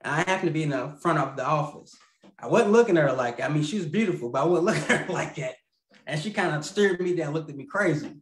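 A man's voice: fairly high pitch, expressive, fast. A man in his thirties telling a personal story as a guest on a video call, rambling with fillers and restarts and laughing mid-sentence. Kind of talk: casual storytelling. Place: home room. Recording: roomy video call audio.

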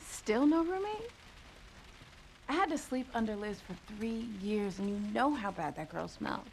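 A woman's voice: fairly high pitch, expressive, normal speed, vocal fry, slight background noise, low-quality recording. A woman acting a bold, teasing teenage rebel in a video game, with an American accent and a casual, coaxing way of talking. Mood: casual, amused.

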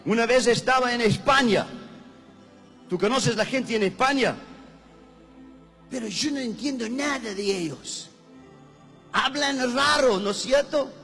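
A man preaches on a stage into a handheld mic, shouting and demanding responses from the crowd. His voice is very high and bright, and he speaks slowly. A long hall reverb and music surround him. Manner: shouting, calls for audience responses. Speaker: guest evangelist.

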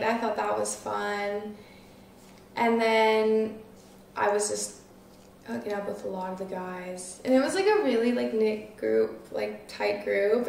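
A woman's voice: mid-range voice, vocal fry, darkish tone. A nineteen-year-old woman chatting casually as an interview guest, peppering her talk with filler words. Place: small living room, seated on a couch. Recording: roomy, distant mic, faint noise.

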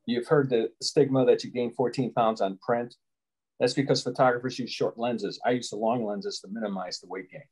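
Casting: man in his 60s, from Chicago, mid-pitched, relaxed explainer with rambling asides, webcam video call from home. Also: normal speed, breathy edge, poor audio. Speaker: guest photographer. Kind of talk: casual interview answers. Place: small room at home with window blinds.

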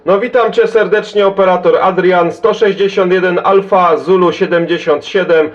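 Lo-fi CB radio, Polish man, notably high pitch, friendly, brisk casual radio contact. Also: fairly even intonation. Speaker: amateur CB radio operator. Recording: dull, lo-fi CB radio recording.

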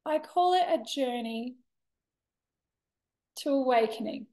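Woman, thirties, high voice, unhurried, candid personal monologue, expressive emphasis. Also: poor audio. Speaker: vlogger sharing a personal story. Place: bright indoor room with large windows. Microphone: laptop webcam at desk distance.

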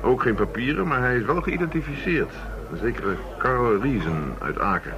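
A man's voice: medium pitch, animated, moderate pace, natural voice. An actor playing a thoughtful, matter-of-fact male police chief inspector in a radio drama. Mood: businesslike. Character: male police chief inspector methodically reviewing murder cases. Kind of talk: radio drama acting, naturalistic dialogue. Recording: dull lo-fi radio recording, crowd murmur.

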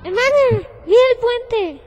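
A woman playing an excitable, sweet little boy with a childlike voice in an anime fan dub. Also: very high-pitched, a little slow, muffled recording. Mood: eager. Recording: loud music over the voice.

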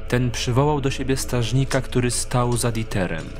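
A man's voice: mid-range voice, fairly even intonation, moderate pace, soft background music. A man narrating in a scripted, dramatic storytelling style.

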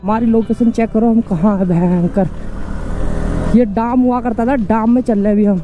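A man filming a casual motorcycle vlog outdoors on a helmet camera, talking excitedly with a friend. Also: high voice, moderate pace, narrow, tinny audio, over music. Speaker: motovlogger. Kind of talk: casual vlog chat while riding. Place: outdoors on open dry land. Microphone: helmet-mounted action camera.

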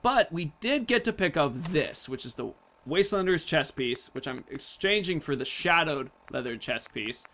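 A man's voice: fairly high pitch, expressive, moderate pace, sharp tone. A man in his thirties giving casual let's play commentary, thinking aloud as he explains his choices. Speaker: gaming video host. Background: game music.

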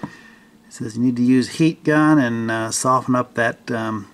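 An older man casually narrating a hands-on repair, thinking aloud in a halting, unscripted way. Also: medium pitch, a little hiss or hum. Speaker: hobbyist restorer presenting a repair video. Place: indoor basement-like home workshop.

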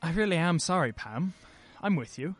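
An actor playing a troubled, irritable well-to-do husband in a radio play, clipped and indignant. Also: male voice, fairly high pitch, animated, moderate pace, no room sound, soft background music. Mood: evasive.

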